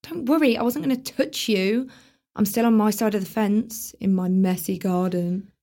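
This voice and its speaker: A woman's voice: medium pitch, normal speed, mellow, natural voice. A young woman acting a brash, sarcastic teenage girl in a radio play, teasing and showing off. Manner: sarcastic, teasing, attention-seeking.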